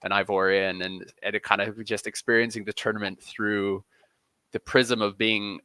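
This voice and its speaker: A man's voice: on the low side, level tone, moderate pace, bright, forceful tone, clear, resonant tone. A man being interviewed as a football journalist on a casual podcast, often rephrasing as he goes.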